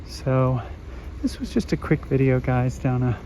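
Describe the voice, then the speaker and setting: A man with a hoarse voice, still recovering from an illness, strolls a residential street and gives a rambling walking-tour commentary full of ums. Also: medium pitch, expressive. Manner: rambling, unhurried, many fillers. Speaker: vlogger. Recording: dull outdoor phone audio with traffic. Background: street traffic.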